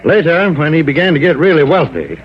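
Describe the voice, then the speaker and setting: A man's voice: on the high side, big pitch swings, a little fast. An American man playing a cautious, fussy insurance executive in an old-time radio drama, precise and formal but often hesitant and hedging. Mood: guarded. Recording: dull vintage radio recording.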